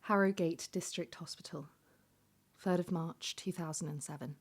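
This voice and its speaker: A devoted woman reads her letter in a lowish, very even voice. She is tender and breathy, often slipping close to a whisper, as in intimate audio drama. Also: normal speed. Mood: tender, hopeful. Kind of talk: intimate scripted letter monologue.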